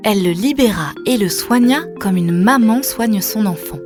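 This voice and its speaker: A woman tells a children's tale in scripted storyteller style, with faint music behind her. Her pitch sits in the middle range, and she turns to the child listener with questions. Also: expressive, moderate pace.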